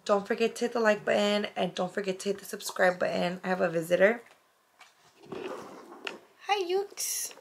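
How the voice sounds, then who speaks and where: A young woman chats to the camera in a loose, rambling way, full of fillers. Her voice is a bit hoarse and raspy. She talks from her bedroom, close to the camera. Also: medium pitch, expressive, normal speed, long pauses, a little hiss or hum. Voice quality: chatty, lots of fillers like "like" and "um". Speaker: vlogger. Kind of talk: casual chat to camera. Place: bedroom, indoors.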